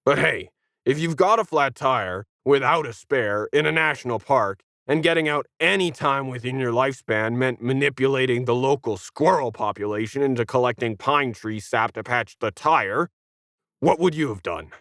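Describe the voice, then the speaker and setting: A man's voice: medium pitch, moderate pace, a bit bright, no echo, clean studio sound, natural voice. Man voicing a snarky, condescending alien. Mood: exasperated explaining. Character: brash sarcastic tentacled alien, condescending and fast-talking. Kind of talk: acted sci-fi storybook dialogue.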